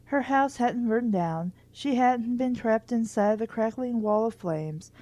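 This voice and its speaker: A woman's voice: a little high, expressive, measured pace, dark tone. A woman reading a children's book aloud in an informal way, stumbling over words at times and adding wry comments of her own. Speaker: host of a book reading series.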